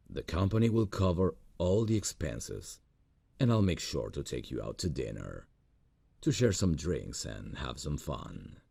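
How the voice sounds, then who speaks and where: A man playing a gravelly lovesick boss. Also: no room sound, heavily acted. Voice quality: deep gravelly voice. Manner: soft, intimate, slow and earnest. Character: lovesick male boss, gentle and earnest. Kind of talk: ASMR roleplay audio.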